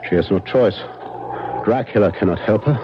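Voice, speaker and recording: Actor as grave, low-voiced old vampire-hunting professor. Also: male voice, natural voice. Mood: solemn. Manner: solemn, deliberate, urgent instruction. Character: grave commanding older male professor and vampire hunter. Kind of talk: 1970s radio drama acting. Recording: dull noisy 1970s radio broadcast.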